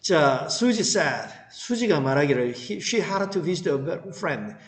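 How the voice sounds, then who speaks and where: A man's voice: a little high, very animated, extremely slow. A man teaching an English reading lesson, explaining line by line and putting questions to students that he answers himself. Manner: didactic, asks rhetorical questions and answers them. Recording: roomy, echoing sound.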